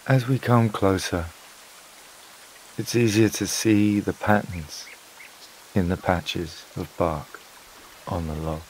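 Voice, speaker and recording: Deep, slow-speaking man narrating sleep meditation. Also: a little hiss or hum, rough recording. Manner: calm, soothing, unhurried with long pauses. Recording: water and rain sounds behind voice. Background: flowing stream, rain-like ambience.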